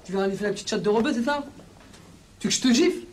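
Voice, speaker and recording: A man's voice: high-pitched, very fast, heavy background noise, close to an everyday voice. A man playing a brash, needling young guy from a housing estate in a film, confrontational and slangy. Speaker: film actor. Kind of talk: naturalistic film dialogue in street slang.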